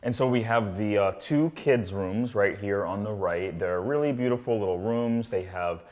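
A man's voice: on the low side, normal speed, darkish tone. A man in his thirties presenting a casual walkthrough of a home as its host, recorded indoors in an apartment. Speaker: house tour host, renovator.

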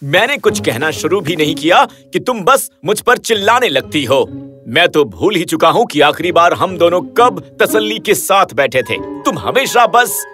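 A man's voice: fairly high pitch, big pitch swings, normal speed, fairly bright tone, soft background music. A man playing an irritable young husband in a fairy tale, complaining emphatically. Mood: annoyed, scolding.

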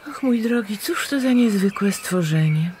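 Actress as slow, expressive gossipy sister. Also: female voice, medium pitch, background noise, natural voice. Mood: gossipy, breezy. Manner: unhurried, expressive, chatty. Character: gossipy, breezy well-to-do sister hosting tea. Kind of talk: radio drama acting.

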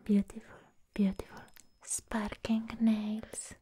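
Young woman whispering asmr roleplay as a possessive goth doll, super slow, soft and dark, really breathy. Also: mid-range voice, completely flat delivery, slight background noise. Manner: slow, hushed, deliberate, with long pauses. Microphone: close microphone.

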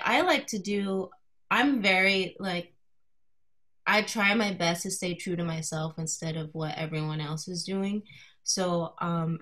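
A woman in her thirties from Colorado, giving an informal artist talk to a class over a video call, soft-spoken and hesitant, with many fillers. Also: fairly low pitch. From Colorado, USA. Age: 30s. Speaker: guest artist speaking to a class. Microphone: video call computer mic.

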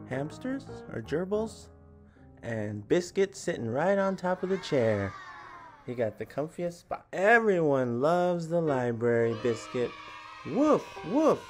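A man in his thirties hosting a picture-book read-along for young children, animated and chatty, often putting questions to his listeners. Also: on the high side. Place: small indoor room at home.

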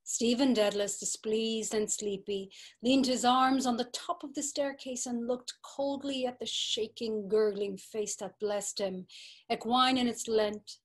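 A young woman calmly reading a novel aloud over a video call. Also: on the high side, unhurried. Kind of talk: dramatic literary reading. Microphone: webcam on video call.

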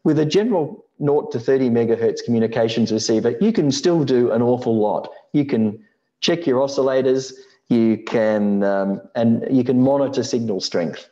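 A middle-aged man giving an explanatory slide talk in a measured, matter-of-fact way, on a dull lo-fi microphone. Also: mid-range voice, mellow. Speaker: presenter of an electronics building tutorial.

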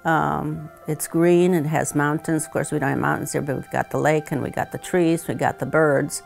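A woman, a retired teacher and weaver, speaking thoughtfully with many pauses and fillers in a seated interview at home. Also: low-pitched, fairly even intonation, vocal fry, soft background music. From Croatia. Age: 70s. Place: home living room, indoors.

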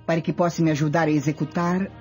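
An actress playing a vengeful, scheming Roman noblewoman in a radio drama, speaking imperiously and deliberately. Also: sits low, steady tone, darkish tone, breathy edge, faint music behind.

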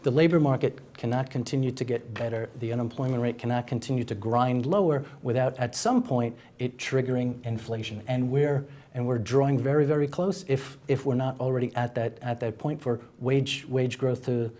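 A middle-aged man giving economic analysis as a studio guest, speaking haltingly and repeating words as he goes. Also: medium pitch, warm tone. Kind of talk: panel interview analysis. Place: TV news studio. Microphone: lapel mic.

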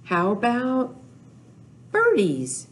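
An older woman hosts a storytime for young children with rhymes and counting. She speaks very slowly in a sing-song, repetitive way, with pitch that moves up and down a lot. There is some background noise behind her. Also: huge pitch swings. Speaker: children's librarian, storytime host. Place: small indoor room with whiteboard.

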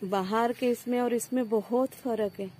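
A woman's voice: fairly high pitch, steady tone, a little slow, a little mellow, a little hiss or hum. A woman vlogging outdoors on her terrace garden, filming with a phone and showing viewers her harvest in a casual, friendly walkthrough. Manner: addresses viewers as friends, points things out. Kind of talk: casual show-and-tell walkthrough. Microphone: phone camera held while filming.

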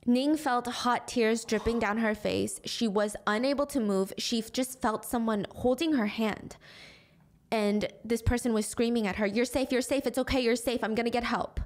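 A young woman narrating a true crime case into a studio mic at home, animated and acting out the quotes. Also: a little high, normal speed. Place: small room at home, indoors. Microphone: studio mic on boom arm.

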